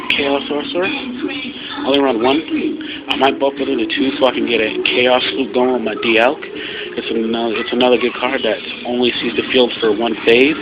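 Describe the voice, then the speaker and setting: A man casually talking through his card game deck off the cuff, explaining and rephrasing his points as he goes. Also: mid-range voice. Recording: muffled lo-fi home recording, loud music behind. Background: loud music.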